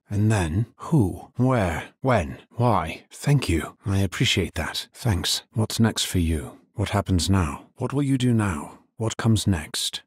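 A grim necromancer from a dark video game, speaking very low and husky in short, measured lines. He sounds grave and dutiful, recorded close and dry with no room around him. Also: no room sound, heavily acted.

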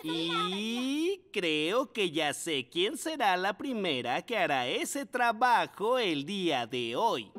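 An encouraging cartoon mole who teaches kindergarten. The delivery swings up and down in a sing-song, teacherly way. Music plays clearly behind the voice. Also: female voice, on the low side, big pitch swings, slow pace, few pauses, fairly bright tone, full character voice. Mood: kindly, instructive. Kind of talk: children's cartoon dub acting.

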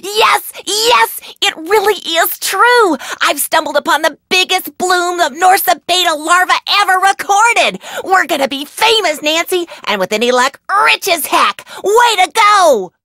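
A woman voicing an eager, quirky, chatty young insect scientist in a video game, excitable and easily sidetracked. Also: very high-pitched, measured pace, hardly any pauses, no room sound, clean studio sound, full character voice. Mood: elated. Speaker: game character, field entomologist. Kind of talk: animated video game voice acting.

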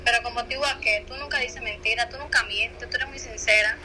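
A woman's voice: on the high side, fairly even intonation, fast talker, pushed and bright. A young woman chatting earnestly and admonishingly over a phone speaker. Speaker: friend on the phone. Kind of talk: casual phone chat. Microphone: phone speaker picked up by camera. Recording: noisy phone call on speaker.

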